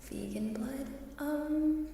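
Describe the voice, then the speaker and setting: A woman chats softly in an ASMR livestream, often slipping into a whisper. Her delivery is very slow and mellow, with long pauses. Heavy reverb washes over her voice. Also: sits high, creaky. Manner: soft-spoken, often dropping into whispers, unhurried with pauses. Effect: added reverb. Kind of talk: ASMR, casual chat with viewers. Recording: very reverberant hall-like echo, distant, faint noise.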